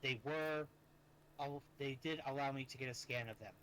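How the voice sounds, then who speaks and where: Male, medium pitch, conversational roleplay, faint noise behind the voice. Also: normal speed, fairly bright tone. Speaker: roleplay participant. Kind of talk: improvised in-character roleplay chat. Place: online voice chat in a virtual world. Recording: faintly noisy online voice chat.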